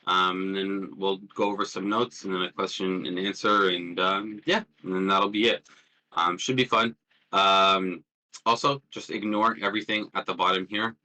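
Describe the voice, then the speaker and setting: Low-pitched young man presenting, bright voice. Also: rough recording. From born in Jordan, lived in Palestine as a child. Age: 20s. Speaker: workshop presenter, student and threat hunter. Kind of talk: informal slide presentation in an online workshop. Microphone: online call with push-to-talk.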